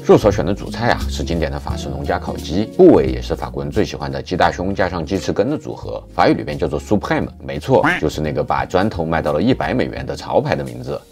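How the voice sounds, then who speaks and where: A man's voice: low-pitched, very animated, quick pace, gravelly, few pauses, a little mellow, soft background music. A man reading a playful scripted voice-over as the narrator of a food and travel vlog.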